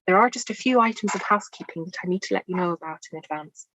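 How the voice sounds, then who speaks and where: Woman doing the housekeeping intro for a video call panel, lowish voice, dry sound, formal. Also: fairly bright tone, no room sound, low-quality recording. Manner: formal, measured. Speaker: event organiser for alumni association.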